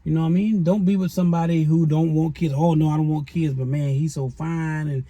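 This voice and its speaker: A man's voice: fairly high pitch, fairly even intonation, a little fast, warm tone, no echo. A middle-aged man co-hosting a relationship advice show, lecturing viewers in a folksy, preachy way and calling them y'all. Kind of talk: casual advice chat to viewers.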